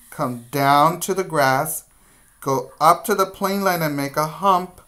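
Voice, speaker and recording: A middle-aged man giving a preschool lesson as a teacher, with deliberate, repetitive step-by-step instructions, recorded indoors on a webcam. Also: a little high, fairly bright tone. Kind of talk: instructional video lesson for young children. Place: small room indoors. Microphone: webcam at desk distance.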